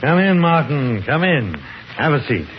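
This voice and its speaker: An actor playing a genial, enthusiastic professor and explorer with cultivated speech in a 1950s radio drama. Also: male voice, on the high side, big pitch swings, a little slow. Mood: eager, genial. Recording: muffled 1950s radio recording with hiss.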